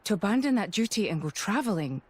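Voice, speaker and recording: A woman's voice: mid-range voice, sing-song, quick pace, darkish tone, husky. A woman playing a dutiful, devoted imperial officer in a video game, speaking formally and earnestly. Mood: resolute. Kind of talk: dramatic video game voice acting.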